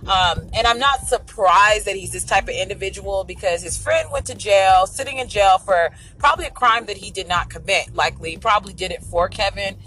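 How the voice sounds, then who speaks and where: A woman with a raspy voice hosting an online radio show, giving frank, chatty opinions on the news. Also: medium pitch, fairly quick, few pauses, fairly bright tone, faint music behind, poor audio. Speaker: internet radio host. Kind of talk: casual talk-radio commentary.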